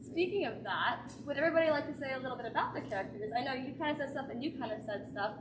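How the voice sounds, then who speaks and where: A woman's voice: sits high, rapid-fire. Upbeat teen girl hosting a panel. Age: teens. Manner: upbeat, laughs often. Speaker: student panel host. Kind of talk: casual panel interview. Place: school room. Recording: roomy, distant mic, noisy.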